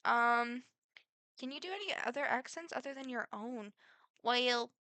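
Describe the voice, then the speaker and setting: A woman's voice: a little high, a little slow, sharp tone, dry recording. A teenage girl with a Midwestern accent, answering get-to-know-me questions in a chatty, rambling way full of fillers. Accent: Midwestern American. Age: teens. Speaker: vlogger.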